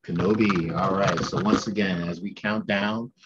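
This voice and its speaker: A man's voice: low-pitched, steady tone, normal speed, background noise. Man reading a storytime aloud, muffled sound. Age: middle-aged. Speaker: host and storybook reader. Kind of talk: read-aloud storytime for children. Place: room at home, indoors. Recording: dull lo-fi sound, music behind.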